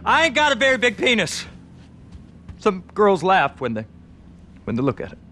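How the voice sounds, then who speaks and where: High-voiced, timid, stammering American dockworker. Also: male voice, huge pitch swings, bright, forceful tone, a little hiss or hum, exaggerated voice. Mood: anxious, embarrassed. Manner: halting, stammering. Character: timid, anxious, submissive working-class male dockworker. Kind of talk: video game cutscene acting.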